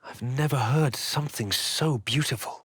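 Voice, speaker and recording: Measured fantasy adventurer, dry recording. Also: male voice, medium pitch, fairly bright tone, breathy edge, no room sound. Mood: grave, narrating. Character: brooding male fantasy adventurer hero, narrating his visions and deeds. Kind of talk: dramatic video game voice acting.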